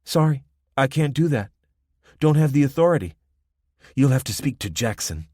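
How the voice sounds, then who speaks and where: A man voicing a confident young woman gang lookout with a soft Spanish accent, mocking and self-assured. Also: medium pitch, animated, moderate pace, no echo, clean studio sound, voice pushed up, lightly acted. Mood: taunting.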